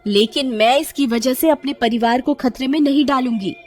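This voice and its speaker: A woman's voice: high voice, moderate pace, darkish tone, faint music behind, lightly acted. A woman voicing a wary, unyielding village housewife in a horror cartoon, alarmed and firm. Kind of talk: Hindi horror cartoon character voice.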